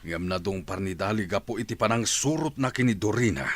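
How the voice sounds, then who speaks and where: A man's voice: lowish voice, expressive, brisk. A man playing a stern, commanding father who scolds his daughter in a radio drama. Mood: anxious. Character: stern middle-aged father, short on patience.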